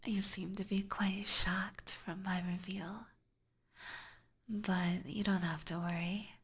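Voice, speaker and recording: A woman's voice: mid-range voice, little pitch movement, slow pace, a bit bright, exaggerated voice. A woman voicing an obsessive, seductive vampire catgirl in an ASMR roleplay, whispering intimately and teasingly into a close binaural microphone with sweet menace. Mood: teasing, menacing. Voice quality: breathy.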